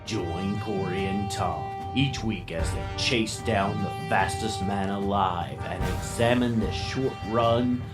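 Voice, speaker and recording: A man's voice: fairly low pitch, takes it slowly, few pauses. A man reading a scripted podcast intro as the show's announcer. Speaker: show announcer. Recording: announcement over guitar music.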